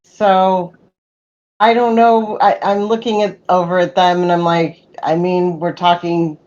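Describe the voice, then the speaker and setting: A woman's voice: lowish voice, flat delivery, a little slow, a little mellow, muffled recording. A woman playing a tabletop game, chatting casually and hesitantly over an online call.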